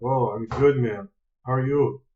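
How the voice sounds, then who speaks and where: A Brazilian man with a Brazilian Portuguese accent, speaking halting English with many fillers in a casual practice chat over a video call. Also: mid-range voice, steady tone, warm tone. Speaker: channel host, English learner. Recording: roomy, dull lo-fi sound.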